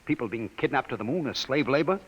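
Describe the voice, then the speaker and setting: A man playing a calm, secretive man leading a fugitive to a hideout, in 1950s radio drama style, steady and low-voiced. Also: quick pace, slightly rough. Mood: urgent. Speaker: radio actor playing Mister Wade. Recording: dull old radio broadcast with hiss.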